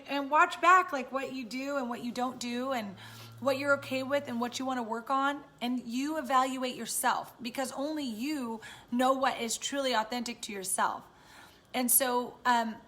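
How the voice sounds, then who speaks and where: A woman with a raspy voice, chatting casually and encouragingly to viewers on a live stream from home. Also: fairly high pitch, pushed and bright. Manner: chatty and encouraging, many fillers. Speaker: live-stream host and business coach.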